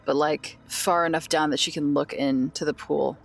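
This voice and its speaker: A woman's voice: deep voice, steady tone, normal speed, gravelly. A woman talking casually as a player in a tabletop roleplaying game.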